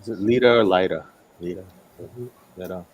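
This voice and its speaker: A man's voice: low voice, moderate pace, dull audio, slight background noise. A man chatting casually in a tentative way, often checking with questions. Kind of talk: casual chat.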